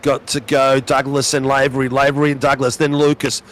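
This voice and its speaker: A man with a hoarse voice calling a sim race with excited, rapid play-by-play. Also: mid-range voice, noisy background. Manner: fast, exclamatory, calls names rapidly. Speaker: race commentator.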